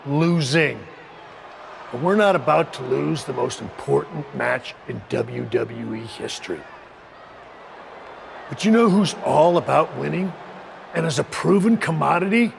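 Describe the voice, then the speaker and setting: An actor playing a domineering male wrestling faction leader in a video game, speaking in a slow, measured, condescending way. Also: fairly high pitch, sing-song, vocal fry, background noise, heavily acted. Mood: menacing.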